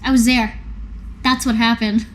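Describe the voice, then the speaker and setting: A woman's voice: on the high side, level tone, normal speed. A woman playing a tabletop roleplaying game, bantering casually in a blunt, irreverent way. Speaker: player.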